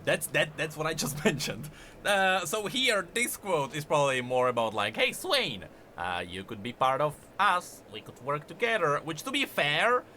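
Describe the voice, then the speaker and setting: A man giving informal explanatory commentary on game lore, animated and halting as he thinks aloud. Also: a little high, a little hiss or hum.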